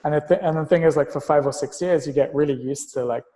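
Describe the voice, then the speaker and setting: A man's voice: on the high side, fast talker, dark tone, in a large hall. A man speaking as a guest in a casual podcast conversation, explaining ideas in an analytical, abstract way. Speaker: interview guest, tech investor and entrepreneur.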